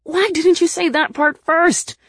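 A woman's voice: medium pitch, moderate pace, dark tone, breathy edge, no room sound, clean studio sound, close to an everyday voice. A woman voicing an urgent, bossy young female adventurer in an audiobook, emphatic and insistent.

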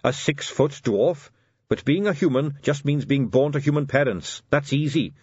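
A man's voice: medium pitch, lively intonation, moderate pace, breathy edge, no echo, muffled recording, voice pushed up. A man voicing an earnest, eager young male captain who speaks politely in a raised voice. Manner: earnest, polite, lifted and bright.

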